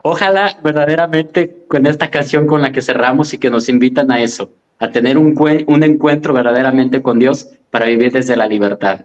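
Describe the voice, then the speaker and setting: A man's voice: medium pitch, steady tone, fairly quick, lo-fi sound. A man speaking casually as a host of an online religious gathering.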